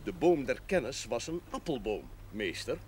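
An actor playing a wily, cheerful country priest with a measured, wry manner in a television period drama. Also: male voice, mid-range voice, very animated, darkish tone, slight background noise, lightly acted.

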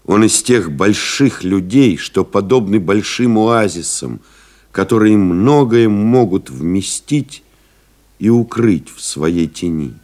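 A deep, expressive pilot-writer reminiscing. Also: male voice. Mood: solemn, reverent. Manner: measured, solemn, emphatic. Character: reflective male pilot-writer recalling friends and desert flights. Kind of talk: dramatic literary narration in a radio play.

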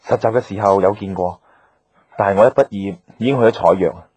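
A man's voice: on the low side, brisk, darkish tone, breathy edge. An actor playing a modest, practical male herbal doctor in a radio drama, matter-of-fact, with a Hong Kong Cantonese accent. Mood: calm, cautioning. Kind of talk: naturalistic radio drama dialogue.

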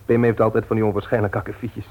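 Frustrated nephew, earnest, low husky voice, narrow-band old radio drama sound. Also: male voice, little pitch movement, quick pace. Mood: worried, confiding. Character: frustrated young married bookseller, earnest nephew. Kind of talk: 1960s radio drama acting.

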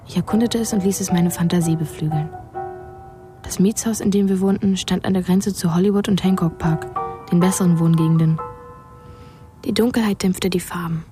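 A woman acts as the remembering voice of a furtive, bereaved boy in a radio play. Her narration is low, laconic and detached, with music clearly behind her. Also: steady tone, fairly quick, lightly acted.

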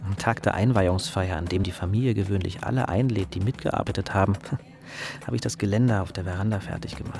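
Heartfelt house-builder narrator for radio play, animated intonation, music underneath. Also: male voice, medium pitch. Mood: warm recollection. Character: moved volunteer house builder who stayed in New Orleans. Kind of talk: radio drama monologue.

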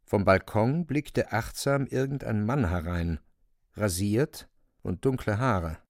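A man's voice: on the low side, slow, no room sound, studio quality. A man narrating a radio drama in a scripted, literary storytelling style.

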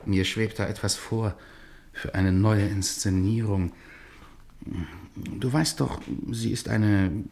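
An actor playing the irritable, theatrical head of a touring troupe in a German radio drama, blustering and declamatory. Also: male voice, lowish voice, gravelly, darkish tone. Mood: commanding, scheming.

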